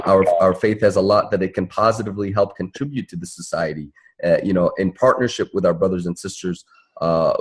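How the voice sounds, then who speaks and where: Low, husky-voiced man giving advice. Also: a little mellow. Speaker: panelist, civil rights attorney and activist. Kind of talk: earnest advice at a town hall discussion.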